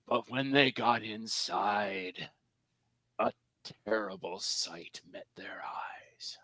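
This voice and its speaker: A man's voice: mid-range voice, pushed and bright, no room sound, poor audio. A man narrating a cartoon table read in a theatrical, folksy storybook style with emphatic phrasing.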